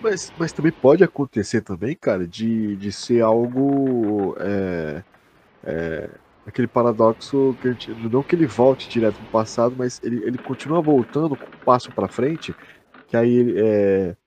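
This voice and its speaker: A man's voice: medium pitch, lively intonation, normal speed, darkish tone, slight background noise. Male co-host, young, Brazilian accent, medium register, loose informal talk with slang and swearing. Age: young adult. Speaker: podcast co-host. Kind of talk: casual chat.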